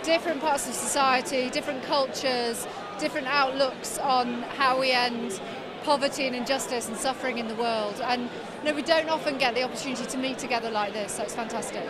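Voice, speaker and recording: A woman's voice: high-pitched, fairly even intonation, brisk, over a noisy crowd. A woman who works for a charity, speaking enthusiastically in a vox pop interview. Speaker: charity worker.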